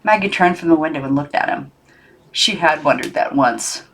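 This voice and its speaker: A woman reading a children's novel aloud as narrator, in an expressive storytelling style. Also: low voice, normal speed, low-quality recording. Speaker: audiobook narrator.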